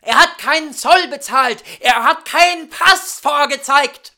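An aggressive storybook water rat. The voice jumps up high and bright, loudly barking bossy orders. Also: male voice, a little slow, creaky, exaggerated voice. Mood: furious. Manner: barking, shouted commands.

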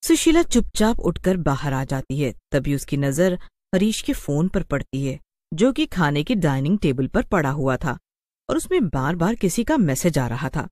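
A woman reading scripted story narration for an animated tale. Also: low-pitched, normal speed, no echo, clean studio sound.

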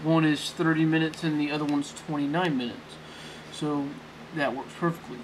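A man giving a casual, unscripted how-to demonstration in a halting, rambling way, often correcting himself, talking from behind a handheld camera. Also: a little high, fairly even intonation, noisy background. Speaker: amateur tech tutorial presenter. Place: small indoor room at a desk. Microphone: handheld camera, off-screen close behind it.

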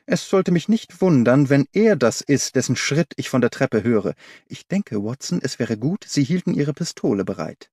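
A man's voice: mid-range voice, sing-song, moderate pace, mellow, no echo, studio quality. A man voicing a brilliant, confident detective in an audiobook, explaining in an assured, didactic way. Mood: urgent, alert. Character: brilliant male detective, confident, analytical, commanding.

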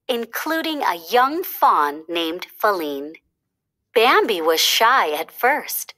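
Slow, bright-voiced woman narrating a storybook. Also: medium pitch. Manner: expressive, deliberate storytelling. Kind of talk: read-aloud children's storybook narration.

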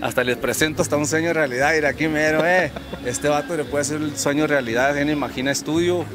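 A man's voice: fairly high pitch, fast talker. A man in his thirties from northern Mexico, a rapper talking casually and slangily into a handheld mic outdoors, often tagging "¿verdad?". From Ojinaga, Mexico. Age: 30s. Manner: laid-back, slangy, keeps adding "¿verdad?". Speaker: rapper guest. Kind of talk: casual interview talk. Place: outdoors on a park bench by a street.

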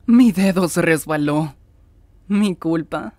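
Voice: mid-range voice, slow pace, a little mellow, dry recording, close to an everyday voice. An actor voicing a petulant, anguished villainous angel in a fandub, given to indignant whining. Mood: smug, cold. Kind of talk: fandub character acting.

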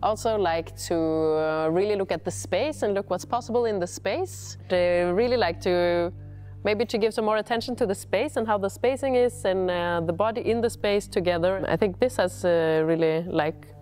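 A young woman from Norway, a circus artist, speaking calmly in an interview. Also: mid-range voice, moderate pace, soft background music. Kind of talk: casual interview.

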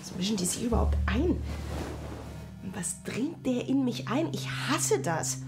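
A woman's voice: fairly high pitch, sing-song, a little slow. An actress playing an indignant, overwrought woman's inner voice in a radio play. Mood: indignant, disgusted. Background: loud music.

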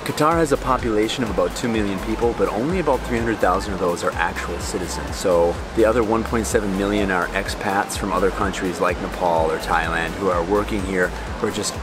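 A man's voice: deep voice, over music. A man in his thirties with an American accent, vlogging casually to a handheld camera in a large airport terminal, joking as he talks. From United States. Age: 30s. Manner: chatty and joking, quick asides. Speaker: travel vlogger. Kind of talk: casual vlog talk to camera. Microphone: handheld camera at arm's length.

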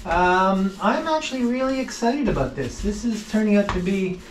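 A middle-aged man casually talking through his hands-on work to the camera, with halting pauses as he goes. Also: high voice, animated. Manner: talks haltingly while working, with pauses. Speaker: vlog host, boat restorer. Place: inside a wooden boat in a shed and at its workbench. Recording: noisy workshop recording with clicks.

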